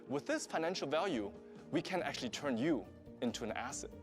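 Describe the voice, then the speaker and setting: A man in his thirties from Germany with a German accent, pitching his startup to investors in an earnest, explanatory way. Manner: earnest, explanatory, steady delivery.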